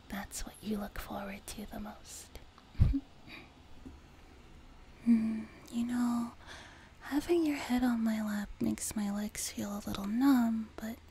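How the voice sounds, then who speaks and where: Woman acting a whispering, slow-spoken sweet demon girl. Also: a little high. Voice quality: soft, breathy whisper. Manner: gentle, reassuring, slow and unhurried. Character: sweet, nurturing young female demon companion. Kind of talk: whispered ASMR roleplay, intimate personal attention. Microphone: close binaural microphone. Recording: noticeable background noise, close binaural mic.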